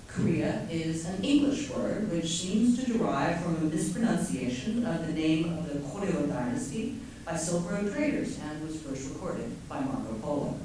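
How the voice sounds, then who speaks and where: A middle-aged woman poet giving a measured, deliberate reading of her own poem to an audience. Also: low voice, warm tone, a little hiss or hum. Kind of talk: poetry reading. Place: lecture hall. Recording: echoey hall from far off.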